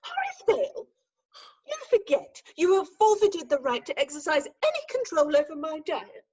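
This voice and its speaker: A high-pitched, indignant young lady of Victorian farce, played by a woman. She is haughty, self-possessed and theatrical in her delivery. The recording is dull, like a remote call. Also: very animated, normal speed, darkish tone. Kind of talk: staged reading of Victorian farce.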